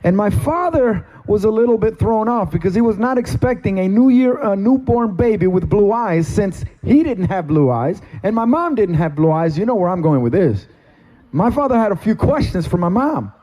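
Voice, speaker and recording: A middle-aged man from Cuba, a pastor giving a sermon testimony from a stage, with slow, deliberate, emphatic phrasing. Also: high-pitched, big pitch swings, warm tone, low-quality recording. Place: stage in a meeting hall.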